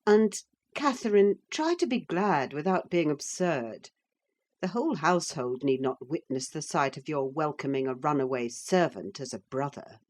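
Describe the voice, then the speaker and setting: A woman voicing a fastidious gentleman husband who speaks in a clipped, disapproving way. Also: deep voice, lively intonation. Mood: stern. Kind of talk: audiobook character reading.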